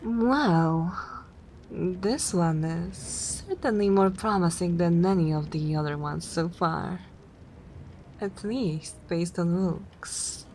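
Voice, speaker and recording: A woman playing a haughty, regal older vampire mistress in an ASMR roleplay, speaking in an imperious, measured way. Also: on the low side, darkish tone, slight background noise, low-quality recording. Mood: interested, commanding. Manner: imperious, measured, breathy near-whispers.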